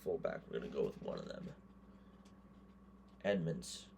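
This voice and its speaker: A hoarse man giving casual gameplay commentary, thinking aloud and muttering quick verdicts as he goes. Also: rumbling low, big pitch swings, a little mellow, slight background noise. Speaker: gaming creator.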